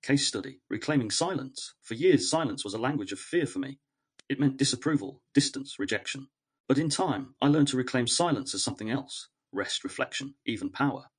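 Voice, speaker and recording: Male narrator, husky mid-pitched voice, for reflective educational essays; measured, earnest, deliberate phrasing. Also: lively intonation. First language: English.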